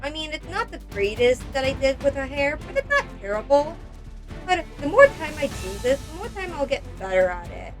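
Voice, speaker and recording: A woman's voice: sits high, very animated, fairly quick, music in the background. A woman narrating a casual craft vlog in a rambling, self-deprecating and exclamatory way, as the creator of doll customization videos.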